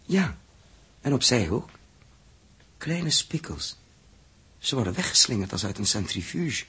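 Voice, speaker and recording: An actor playing a questioning, sceptical male astronaut in a 1960s Dutch radio drama, halting when puzzled. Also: mid-range voice, very animated, bright, forceful tone, slightly rough, heavily acted. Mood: intrigued. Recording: muffled-edged old 1960s radio recording.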